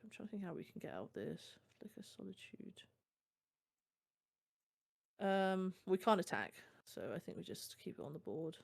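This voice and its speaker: A woman's voice: on the low side, big pitch swings, moderate pace, creaky, pauses often. German woman chatting casually over gameplay. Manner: chatty, agreeable, frequent "yeah, exactly" affirmations. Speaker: guest co-streamer.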